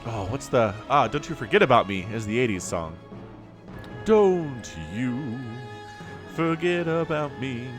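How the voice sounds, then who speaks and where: A man's voice: mid-range voice, lively intonation, measured pace, music in the background. A young man with a sniffly cold, giving chatty solo commentary on a strategy game and wandering off on jokey tangents. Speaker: StarCraft shoutcaster.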